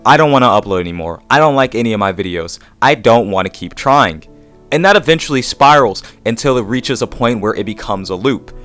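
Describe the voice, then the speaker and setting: A man's voice: on the low side, a bit bright. A man narrating a scripted video essay in an introspective, confessional way.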